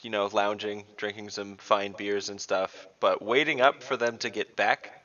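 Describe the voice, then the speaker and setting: A man's voice: fairly low pitch, steady tone, normal speed, pushed and bright. A man playing an online tabletop game, chatting casually over a video call. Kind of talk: casual chat. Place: indoors at home, on a group video call. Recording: dull video call audio.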